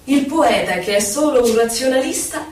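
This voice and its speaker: An actress playing an earnest, sententious voice of a poet's thought, reciting on stage in measured, declamatory phrases. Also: female voice, sits high, lively intonation, darkish tone, slight background noise. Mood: earnest, pensive. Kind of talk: theatrical poetry recitation. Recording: echoey hall from far off, faint music. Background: faint music, violin.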